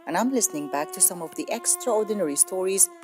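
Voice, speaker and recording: A woman's voice: lowish voice, expressive, fast talker, over music. A woman presenter from Syria, reading a reflective scripted promo.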